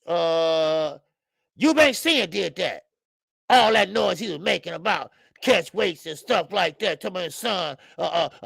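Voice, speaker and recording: A man in his fifties hosting a live boxing talk stream, loud and profane, rambling and greeting viewers by name. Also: high voice, gravelly, dry recording. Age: 50s. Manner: frequent laughs and stretches of filler. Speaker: boxing show host. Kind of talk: live-stream commentary chat.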